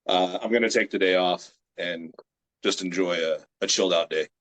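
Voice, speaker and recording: A man in his late thirties, a grip strength athlete from the United States, chatting as a guest on a video call in a relaxed, rambling way with many fillers. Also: low-pitched, normal speed. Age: 30s. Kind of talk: casual interview chat.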